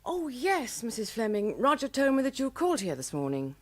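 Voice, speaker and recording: A detective's loyal British wife in a radio play. Her voice is fairly high and very lively in pitch, with a spirited, expressive and well-mannered delivery. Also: fairly quick. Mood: polite, helpful. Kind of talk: radio drama acting.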